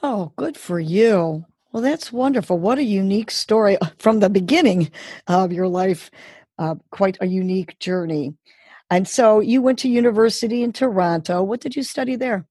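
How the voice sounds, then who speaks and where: Encouraging woman host, husky and dry. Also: medium pitch, moderate pace, no room sound. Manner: warm, encouraging, effusive. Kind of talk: interview hosting.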